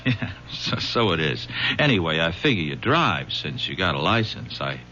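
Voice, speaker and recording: Sly detective, folksy and halting, low voice, bright tone, lo-fi radio sound. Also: male voice, big pitch swings, slight background noise, heavily acted. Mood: wheedling. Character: shrewd, persistent male police detective. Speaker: detective sergeant. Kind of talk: 1970s radio drama acting. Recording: dull lo-fi old radio broadcast.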